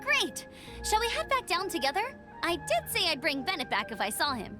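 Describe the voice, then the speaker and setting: Bright, peppy young scout. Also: female voice, extremely high pitch, huge pitch swings, normal speed, full character voice. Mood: friendly. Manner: upbeat, eager. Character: cheerful energetic young female scout. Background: game music.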